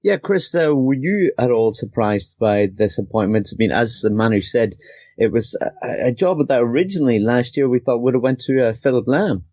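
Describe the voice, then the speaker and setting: A man with a mid-pitched voice hosts a casual football podcast. He speaks conversationally, passing the discussion around his panel. The recording is dry and slightly dull. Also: expressive, moderate pace, a little mellow, no echo. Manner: conversational, steering questions to co-panellists. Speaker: podcast host.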